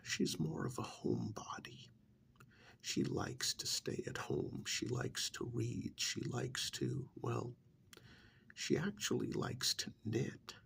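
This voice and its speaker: A man playing a chatty, gently scolding vampire envoy in a soft-spoken ASMR role-play, with a breathy whisper and deliberate pauses. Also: mid-range voice, wildly swooping pitch, a little hiss or hum, full character voice. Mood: confiding, soothing. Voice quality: breathy whisper, gravelly fry. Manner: slow, deliberate, drawn-out pauses, conversational.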